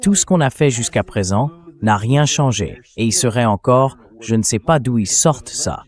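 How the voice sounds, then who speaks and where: A man hosting a political talk show, explaining his argument in a measured way as a synthetic dubbed read. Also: mid-range voice, expressive. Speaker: host.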